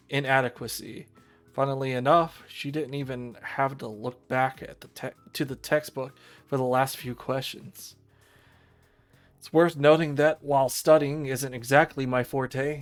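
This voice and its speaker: A man reading a visual novel aloud on a livestream, narrating and stumbling over lines with casual asides. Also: medium pitch.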